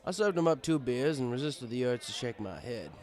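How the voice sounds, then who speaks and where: A man's voice: medium pitch, lively intonation, fairly quick, a little mellow. A man reading a first-person story aloud as narrator, in a conversational, wry storytelling style.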